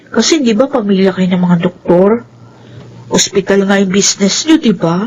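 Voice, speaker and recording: A Filipino woman playing a supportive high school girl in a radio drama, chatty and sympathetic. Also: moderate pace, a little mellow, a little hiss or hum, lightly acted. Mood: sympathetic, curious.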